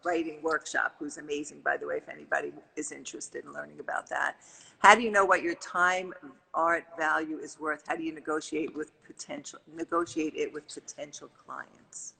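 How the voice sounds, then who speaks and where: Upbeat woman host with a husky, gravelly voice. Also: low-pitched, steady tone, moderate pace, bright, forceful tone, low-quality recording. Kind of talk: reading viewer questions on a live stream. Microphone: phone or laptop on a live video call.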